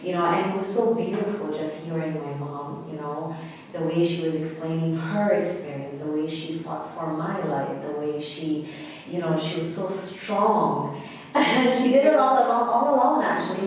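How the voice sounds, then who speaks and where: A woman in her thirties from Bangladesh, telling her life story as an interview guest in an earnest, halting way with many restarts. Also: sits low, darkish tone, slight background noise. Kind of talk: personal storytelling in conversation. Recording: echoey hall, mic at a distance.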